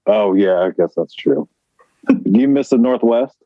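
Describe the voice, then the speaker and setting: A man's voice: on the low side, animated, normal speed, no room sound, dull audio. An American man hosting and interviewing in a casual, conversational style. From Portland, Oregon, US. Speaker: podcast host and interviewer.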